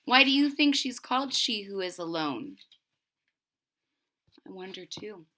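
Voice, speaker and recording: A woman reading a children's story aloud expressively, deliberately, with explanatory asides to young listeners. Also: mid-range voice, animated, pushed and bright.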